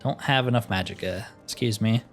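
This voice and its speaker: A man's voice: fairly low pitch, brisk, vocal fry, faint music behind. A man giving casual, wry commentary as he plays through a video game, often with dry humor. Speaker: gaming video host.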